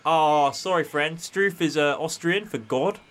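A man's voice: on the high side, fairly bright tone. A man playing a cheeky, scheming, matey convict. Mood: breezy bluffing. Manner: matey, slangy fast-talker. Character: cheeky, scheming male convict posing as easygoing nobleman.

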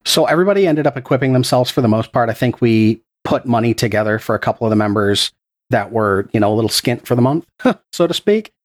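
A man's voice: medium pitch, expressive, fast. A man who produces a podcast and runs its game, answering interview questions in an earnest, explanatory way with a few restarts, speaking into a podcast microphone. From Texas.

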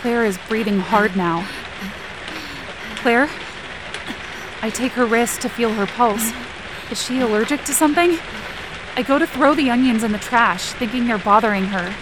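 A woman performs an anxious young female camp counselor in an audio drama, her voice on the high side. She narrates in the first person with a tense, dramatic delivery, hurt and on edge. Faint rain and ambience sit behind her. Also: normal speed, pauses often, background noise, natural voice. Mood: concerned. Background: rain and outdoor ambience effects.

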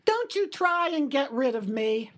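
A woman's voice: high-pitched, big pitch swings, measured pace. A woman playing a fretful, stubborn older mother and wife in an audio drama. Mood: despairing, scolding.

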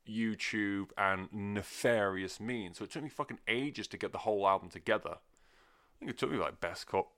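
A man's voice: fairly low pitch, bright, forceful tone, clear, resonant tone. A man talking casually and off the cuff as a music reviewer, rambling, sweary and self-deprecating. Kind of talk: casual unscripted review chat.

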